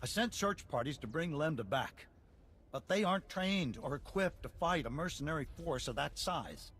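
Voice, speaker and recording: An actor playing a dignified, worried middle-aged colonial leader in a video game, speaking formally and earnestly. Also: male voice, on the high side, expressive, normal speed, fairly bright tone, slightly rough, heavily acted. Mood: grave, hopeful. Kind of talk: video game cutscene acting.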